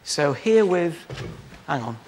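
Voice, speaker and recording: A man's voice: fairly high pitch, sing-song, a little slow, darkish tone. A man hosting a TV studio chat show, introducing contestants with teasing banter. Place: large TV studio with audience. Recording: reverberant studio with audience.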